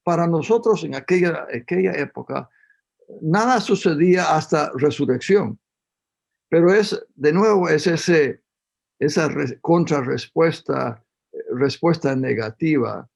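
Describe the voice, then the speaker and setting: Gravelly-voiced man from Costa Rica. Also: on the high side, unhurried. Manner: reminiscing, with hesitant restarts. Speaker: panel guest. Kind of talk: reflective panel discussion.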